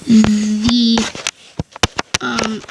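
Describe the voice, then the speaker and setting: A woman's voice: on the high side, little pitch movement, creaky. A woman narrating a casual game tutorial into a headphone mic, often stopping to correct herself. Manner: halting, frequent self-corrections and restarts. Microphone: headphones with built-in mic. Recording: loud background noise on headset mic.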